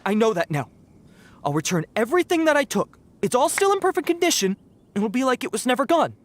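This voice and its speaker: A man's voice: very high voice, full character voice. A man voicing a timid young bandit in a video game, flustered and rambling, full of fillers. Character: timid young male bandit caught red-handed. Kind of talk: game voice acting.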